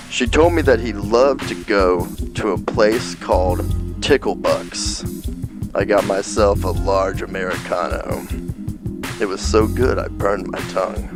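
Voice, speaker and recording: Male actor as seedy occult detective, lowish pitch, unhurried, deadpan, comic radio noir, music behind.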